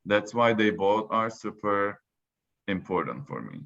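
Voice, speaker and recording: A man's voice: on the low side, very animated, measured pace, gravelly, a bit bright. A man from Turkey, a native Turkish speaker, chatting on a video call panel with many "like" fillers. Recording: dull lo-fi call audio.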